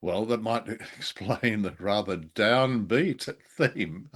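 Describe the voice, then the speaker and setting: A man's voice: lowish voice, slow pace, fairly bright tone. A man co-hosting a radio show and podcast, chatting in a casual, conversational style.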